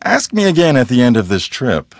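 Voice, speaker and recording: A self-assured male ghost hunter from a mystery game. His mid-pitched voice moves around in lively swings as he explains things earnestly and matter-of-factly. The sound is dry and close, with no room on it. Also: a little fast, vocal fry, no echo. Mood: wry, measured. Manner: matter-of-fact, explanatory, conversational. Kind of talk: acted video game dialogue.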